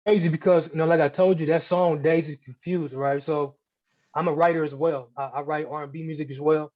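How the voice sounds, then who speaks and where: A man's voice: a little high, steady tone, a little fast, no echo. A man in his forties from Germany and Missouri, chatting casually as an interview guest in a laid-back, slangy way. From Germany and Missouri, USA. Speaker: guest, hip hop artist and producer. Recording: narrow, tinny phone-like call audio.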